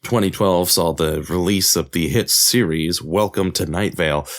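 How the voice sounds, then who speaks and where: A man hosting a podcast, reading scripted narration as a creator of audio drama. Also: sits low.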